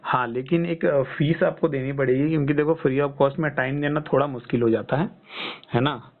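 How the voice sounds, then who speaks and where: A man's voice: mid-range voice, steady tone, fairly quick. Phone-line man, reassuring health coach. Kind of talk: phone consultation, explaining and advising. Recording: tinny band-limited phone call.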